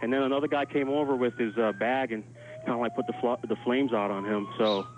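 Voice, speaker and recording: A man's voice: mid-range voice, fairly even intonation, brisk. A man giving an informal live eyewitness account by phone, in loose phrasing full of 'like', as a hotel worker. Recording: dull noisy phone line, sirens behind. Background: alarms and emergency sirens.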